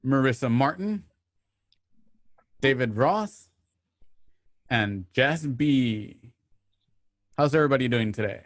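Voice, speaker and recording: A man hosting an online radio drama, reading a scripted introduction with measured, announcer-like phrasing. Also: mid-range voice, lively intonation, no echo, clean studio sound.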